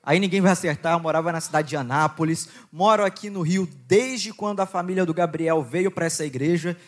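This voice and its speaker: A young man with a Goiás accent preaching to a youth conference, joking with the crowd, reading scripture and praying in a quieter, lower voice. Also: fairly quick. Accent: Goiás Brazilian Portuguese. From Goiás, Brazil. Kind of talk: sermon with Bible reading and prayer.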